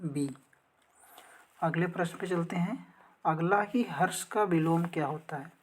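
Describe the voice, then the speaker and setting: Low-voiced woman teaching an exam lesson. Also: measured pace, breathy edge. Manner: methodical, instructional, reads out questions and options. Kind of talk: exam practice lesson, explaining questions and answers.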